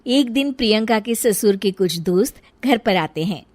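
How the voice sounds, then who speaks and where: A woman's voice: medium pitch, animated, normal speed, a little mellow, dry recording. A woman reading scripted narration for a story, as the narrator.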